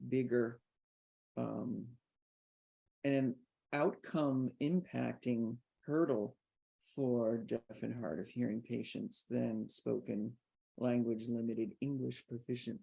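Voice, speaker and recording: Woman, very low creaky voice, podcast host, articulate, emphatic, conversational. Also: mellow. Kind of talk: conversational interview commentary.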